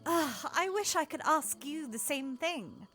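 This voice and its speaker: A woman playing an eager, proper, playful young princess in an audio drama, with formal, expressive phrasing. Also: high voice, unhurried, faint music behind. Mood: doubtful.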